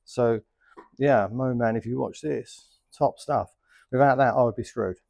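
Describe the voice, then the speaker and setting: A man's voice: medium pitch, measured pace, gravelly, a little mellow. A middle-aged man giving a casual, chatty, plain-spoken product review as a vlogger. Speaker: vlogger reviewing motorcycle gear. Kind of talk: casual unboxing and review talk.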